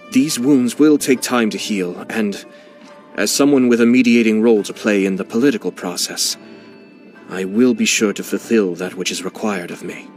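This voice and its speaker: A man's voice: on the low side, close to an everyday voice. An actor playing a composed young nobleman who heads his clan, speaking in a polished, courteous way with dry amusement. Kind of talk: video game character voicelines.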